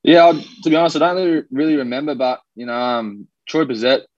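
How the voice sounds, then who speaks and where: Young australian man, casual podcast guest, relaxed rambling talk, muffled dry sound. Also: mid-range voice, fast, no echo. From New Zealand, raised in Australia. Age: young adult. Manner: laid-back, rambling, many fillers. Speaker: rugby league player guest.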